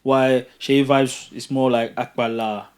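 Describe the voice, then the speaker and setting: A man, co-hosting a casual music podcast, playful and quick to laugh. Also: mid-range voice, flat delivery, clean tone. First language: not English. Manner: playful, laughs often, puts on voices for jokes. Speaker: podcast co-host. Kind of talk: casual chat.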